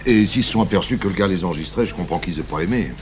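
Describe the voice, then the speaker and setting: A man's voice: sits low, racing, lightly acted. An actor playing a keen, deferential male police inspector, reporting casually and informatively in a French radio crime drama. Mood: keen, businesslike. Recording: faint noise, old radio recording.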